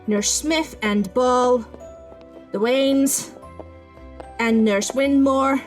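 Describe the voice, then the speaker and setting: A woman playing a stern, brisk senior nurse who scolds her young staff in a period audio drama. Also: fairly high pitch.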